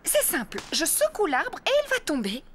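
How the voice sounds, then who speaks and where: High-voiced confident mother pig. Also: wildly swooping pitch, normal speed, a little hiss or hum, heavily acted. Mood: assured. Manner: assured, matter-of-fact. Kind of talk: cartoon dub acting. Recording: loud music behind.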